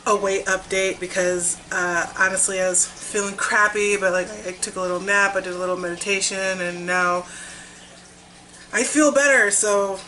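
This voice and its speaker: A woman's voice: medium pitch, steady tone, normal speed, sharp tone, slight background noise, rough recording. A young woman chatting casually in a vlog, upbeat and talkative, while she is losing her voice. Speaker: vlogger. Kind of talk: casual weight-loss vlog.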